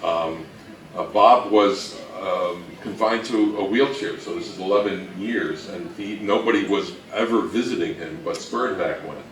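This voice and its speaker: A man giving an informal history talk, halting and anecdotal, with dry humour. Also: sits low. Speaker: co-presenter of a talk on old-time radio history. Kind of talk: informal lecture to a live audience. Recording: echoey room from far off, faint noise.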